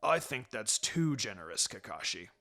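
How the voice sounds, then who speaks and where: Blunt talking hound for a fantasy story, voiced by a man; very low, grumpy, deadpan. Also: normal speed, full character voice.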